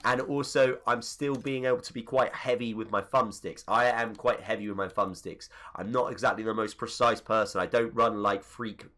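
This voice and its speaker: Gaming YouTuber, male, bright tone, bunged-up nose, rambling tutorial delivery. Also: lowish voice, steady tone, normal speed, hardly any pauses. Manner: chatty, rambling asides. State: congested from a cold. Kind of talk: casual settings tutorial voice-over.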